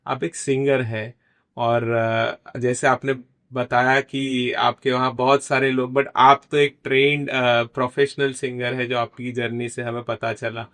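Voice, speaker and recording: A man hosts a studio podcast, courteous and encouraging as he thanks his guest and asks questions. His voice sits at a middle pitch, and he mixes English phrases into his talk. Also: flat delivery, unhurried, a bit bright. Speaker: podcast host. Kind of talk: podcast interview hosting.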